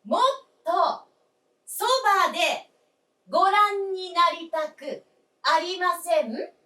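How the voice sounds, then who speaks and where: A very high, lilting voice from across an echoey stage: a genteel young wife in a radio play. She is solicitous and very polite toward her elderly father-in-law. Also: expressive, takes it slowly, pushed and bright, exaggerated voice. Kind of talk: staged radio drama acting. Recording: echoey stage, distant microphone.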